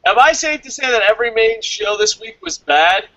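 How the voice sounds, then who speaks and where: A man casually co-hosting a review show, reading out comments and answering them in a blunt, animated, sweary way. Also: very high voice, brisk, vocal fry, dry recording, lo-fi sound. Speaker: co-host of a wrestling review show.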